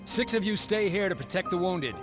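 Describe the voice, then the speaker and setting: An actor playing a bold, gruff colonial mercenary commander in a video game, commanding and dryly sardonic. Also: male voice, high-pitched, animated, a little fast. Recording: orchestral music behind the voice.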